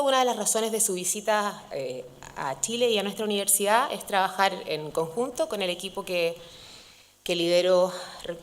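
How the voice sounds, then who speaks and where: A woman academic formally introducing a guest speaker, measured with occasional fillers. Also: medium pitch.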